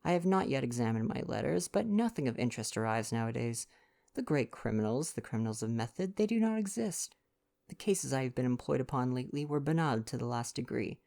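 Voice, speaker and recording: A man's voice: medium pitch, lively intonation, a little fast, gravelly, darkish tone. Guy doing a fussy old belgian detective, self-important, formal and courteous, storybook reading. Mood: despondent. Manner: formal foreign phrasing, sighing self-importance. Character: fastidious, vain older Belgian detective, gravely courteous.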